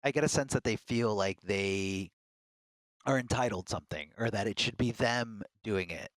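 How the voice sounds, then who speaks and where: A man's voice: on the low side, normal speed, no room sound, poor audio. A man who works as a game commentator, telling candid stories as a podcast guest. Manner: earnest, candid storytelling. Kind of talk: casual podcast conversation.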